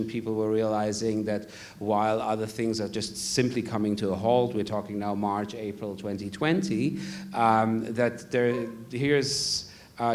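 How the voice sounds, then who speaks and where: A man speaking on a conference panel in a steady way, with frequent hesitant fillers. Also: lowish voice. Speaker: media rights panelist. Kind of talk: panel discussion.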